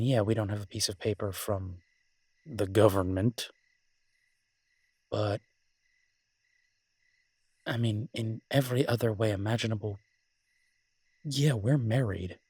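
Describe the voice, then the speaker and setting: Low, husky, tender werewolf boyfriend played by a man. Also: a little mellow, no echo. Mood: warmly teasing. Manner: hesitant, tender, conversational, lightly teasing. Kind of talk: romantic roleplay audio, intimate casual conversation.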